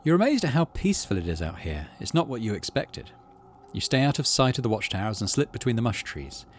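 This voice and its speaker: A man's voice: medium pitch, sing-song, a little fast, a little hiss or hum. A man hosting a gaming video, reading story text aloud and adding casual commentary.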